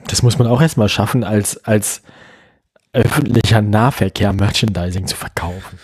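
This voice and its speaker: A man co-hosting a casual podcast, chatty, with quick reactions and ironic asides. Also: on the low side, breathy edge. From not from Berlin. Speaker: podcast co-host. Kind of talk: casual news chat.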